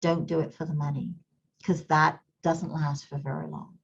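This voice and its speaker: A woman's voice: deep voice, little pitch movement, moderate pace, gravelly, lo-fi sound, a little hiss or hum. A middle-aged woman who grew up in Kenya, with a slight English accent, talks earnestly as an interview guest and repeats words while she thinks. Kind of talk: reflective interview talk.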